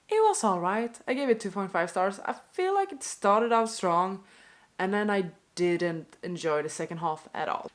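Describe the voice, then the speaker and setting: A woman's voice: lowish voice, a bit bright. A young woman with a stuffy nose from a cold, chatting casually to camera in an unscripted rant, apologetic and full of "like" fillers. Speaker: book vlogger.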